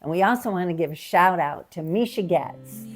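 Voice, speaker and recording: A woman's voice: lowish voice, animated, moderate pace. An older woman co-hosting a travel ministry show, chatty and upbeat. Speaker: co-host. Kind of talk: casual co-hosting. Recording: faint music behind.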